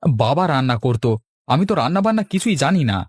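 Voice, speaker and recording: A man's voice: a little high, big pitch swings, fast talker, no echo. A man voicing an earnest young husband in a village cartoon.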